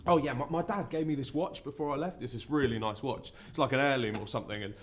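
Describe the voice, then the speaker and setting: A man performs a wayward young man telling his story like a stand-up act. He is brittle and confessional, halting between showy patter and regret. There is clear noise behind the voice. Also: medium pitch, close to an everyday voice. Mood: uneasy confession. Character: lost prodigal young man, brittle showman turned regretful. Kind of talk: theatrical monologue posing as stand-up comedy.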